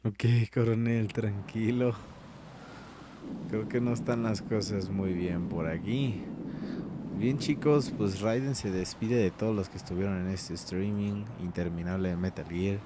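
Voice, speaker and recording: A man's voice: fairly low pitch, fairly even intonation, moderate pace, heavy background noise. A man casually commenting on a gameplay stream. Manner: casual, chatty asides.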